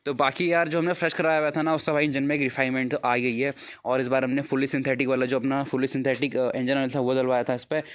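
A man's voice: medium pitch, flat delivery, fast talker. A young man vlogging casually on a phone at a bike service shop, chatty and constantly addressing viewers as brother. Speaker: motovlogger. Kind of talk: casual vlog narration to camera. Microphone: phone camera.

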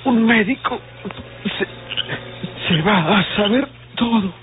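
Dying Mexican bandit, fearful, halting and breathless, crawling pace, old radio sound. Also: male voice, high voice, animated. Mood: weak, fearful. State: weak, gasping, halting. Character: gravely wounded, frightened male outlaw. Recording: muffled old radio recording.

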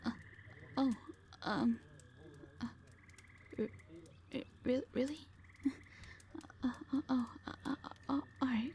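Woman acting a stammering shy owl girl, softly high. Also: fairly even intonation, takes it slowly, pauses often, slight background noise. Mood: flustered, embarrassed. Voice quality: soft, breathy, often whispering. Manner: timid, heavily stammering, hesitant. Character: shy, frightened, wounded owl beast-woman captive. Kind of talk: audio roleplay drama acting.

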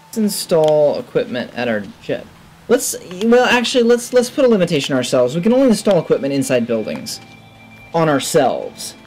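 A man in his thirties giving casual game commentary into a headset, thinking aloud in short, low-key remarks. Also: huge pitch swings, normal speed, darkish tone, faint music behind. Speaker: gamer, let's play host. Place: indoor room at a gaming setup.

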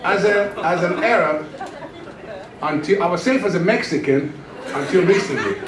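Storytelling pastor in an echoey church hall. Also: male voice, high-pitched, moderate pace, far from the mic, a crowd in the background. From Iran. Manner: storytelling, joking, animated gestures while pacing the stage. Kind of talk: humorous testimony sermon. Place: church stage, large room. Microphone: handheld microphone.

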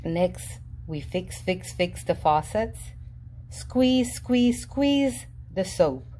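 A woman reading a children's picture book aloud in a lively, sing-song storybook voice with playful jumps in pitch. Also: very slow, slight background noise. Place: indoors at a table, reading an open book. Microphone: camera above the book, reader unseen.

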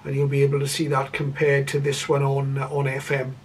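An older man introducing a radio hobby video casually, in a measured, hesitant way, in a room at home. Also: medium pitch, completely flat delivery, a little hiss or hum. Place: indoor room full of radio equipment. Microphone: camera a short distance away. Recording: slightly distant camera microphone.

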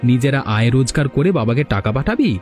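A fast-talking, condescending rich college boy who brags to his friends, as a man plays him. Faint music runs under the voice. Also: mid-range voice, big pitch swings, darkish tone, close to an everyday voice. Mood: arrogant. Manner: arrogant, condescending. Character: boastful rich young male college student.

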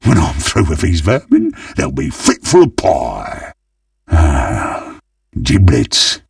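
Monstrous undead butcher, British accent, video game, gravelly, slow, greedy relish, theatrical menace. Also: male voice, rumbling low, huge pitch swings, darkish tone, dry recording, clean studio sound, distant mic, full character voice. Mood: gloating relish. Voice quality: gravelly, husky. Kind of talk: video game character voice lines.

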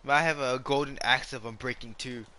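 A young man chatting excitedly while playing a video game, yelping at in-game mishaps. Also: mid-range voice, brisk. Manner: excitable, yelps at in-game hazards. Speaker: gamer. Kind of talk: casual gaming chat.

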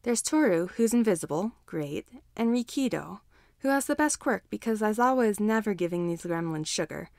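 A woman narrates fiction from a script in a medium-pitched voice. The recording is close and dry, with very little room sound around her. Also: lively intonation, normal speed, vocal fry, darkish tone, no room sound. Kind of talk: scripted narration, audiobook reading of fan fiction.

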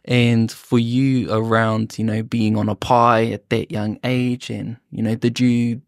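Even-toned young Kiwi man, dry sound. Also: mid-range voice, a little slow, gravelly, no echo. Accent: New Zealand. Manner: attentive, frequent backchannels. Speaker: podcast host. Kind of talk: casual conversational interviewing. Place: small podcast studio, indoors. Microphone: close studio microphone on arm.

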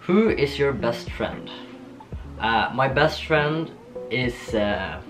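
A young man from Norway with a Norwegian accent, chatting casually to camera in a small home studio, laughing often and pausing with ums. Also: medium pitch. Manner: relaxed, giggly, lots of ums and restarts. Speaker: vlogger. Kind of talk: casual get-to-know-me chat. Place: small bedroom studio with shelves and posters. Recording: soft guitar music underneath.

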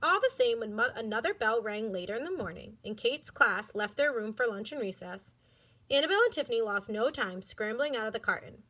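Bright, high-voiced woman reading aloud. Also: fairly quick. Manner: clear, expressive storytelling. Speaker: elementary school educator. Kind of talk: children's book read-aloud.

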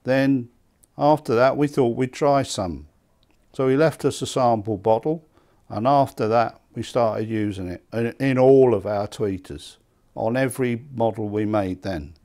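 A man in his seventies with an English accent, reminiscing conversationally in an interview, halting and repeating words. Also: mid-range voice, warm tone. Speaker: loudspeaker maker being interviewed. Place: small indoor hi-fi demonstration room. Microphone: lapel mic.

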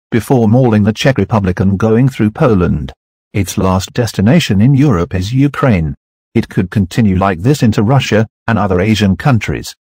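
Man, husky, formal flat announcer, synthetic, scripted emergency bulletin, dry sound. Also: fairly low pitch, no echo.

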